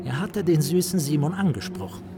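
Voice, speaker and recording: An actor in a German radio play playing a courteous, self-important man who tells tales in a formal, drawn-out, theatrical manner. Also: a little high, over music, lightly acted. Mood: ominous. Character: Mr Hund, courteous, self-important storyteller savouring his sinister tale.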